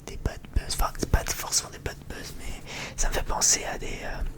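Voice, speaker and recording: An ASMR creator chatting in a rambling, hesitant way, often repeating phrases. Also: a little fast. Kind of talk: whispered ASMR chit-chat.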